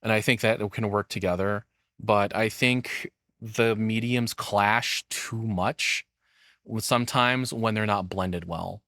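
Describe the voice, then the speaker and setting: A man's voice: on the low side, steady tone, slow, sharp tone, no room sound, studio quality. A man giving casual, opinionated commentary, rambling and swearing bluntly as he goes. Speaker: streamer reacting to a video.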